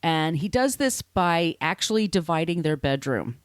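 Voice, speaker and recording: A woman's voice: on the low side, animated, moderate pace, smooth, no room sound, studio quality. A woman hosting a podcast, telling literary history conversationally in an informal, explanatory way with asides. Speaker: podcast host.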